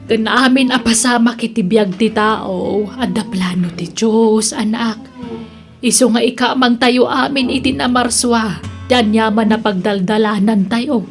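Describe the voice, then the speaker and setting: A woman playing a devout, gentle older mother in an Ilocano audio drama, with a solemn, counselling manner. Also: a little high, measured pace. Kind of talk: Ilocano radio-style drama acting. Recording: music under the voice.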